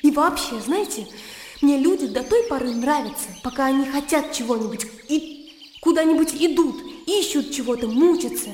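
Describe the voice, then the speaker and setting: An actress playing a naive, blunt young country gentlewoman in a Russian radio drama, opinionated and emotional. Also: high voice, lively intonation, normal speed, slight background noise. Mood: animated, opinionated. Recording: roomy long reverb with music behind.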